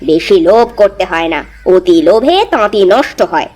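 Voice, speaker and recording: A woman's voice: medium pitch, expressive, moderate pace, a little mellow, natural voice. A woman playing a sensible elder sister-in-law in a village cartoon, scolding and admonishing. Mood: dry, admonishing. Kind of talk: cartoon voice acting.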